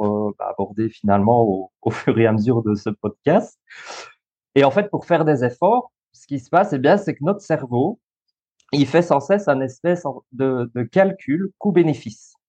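A thirty-year-old man with a Belgian French accent talks through ideas in an easygoing, explanatory way, pausing often on fillers and restarting his sentences. His voice sits mid-range for a man. The recording sounds a little dull and lo-fi. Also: mid-range voice, lively intonation, mellow. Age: 30s. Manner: explanatory, many fillers and restarts, easy laughs. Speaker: guest, school coach and social worker. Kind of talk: podcast interview.

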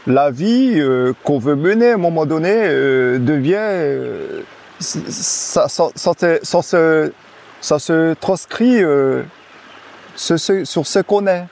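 Male guest, casual outdoor interview, laid-back, halting delivery with many fillers. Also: on the high side, gravelly. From French Guiana. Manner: hesitant, many restarts and fillers, relaxed.

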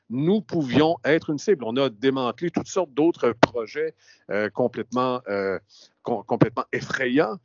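A man from Canada, a veteran broadcast journalist, speaking in a measured, deliberate way as an interview guest. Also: medium pitch, no room sound. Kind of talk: interview talk. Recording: dull, dry lo-fi sound.

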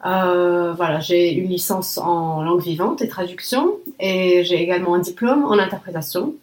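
A woman's voice: fairly low pitch, normal speed, a little mellow, roomy sound. A woman from Lebanon whose first language is Arabic, a conference interpreter explaining her work methodically with frequent filler sounds.

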